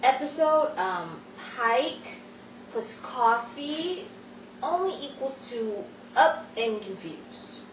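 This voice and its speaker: A woman's voice: high-pitched, animated, takes it slowly, recorded from a distance. A woman playing a dry, sarcastic friend with cutting wit in a 1940s-style radio comedy, on a noisy, echoey recording. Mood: sardonic. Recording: noisy, dull, echoey room from afar.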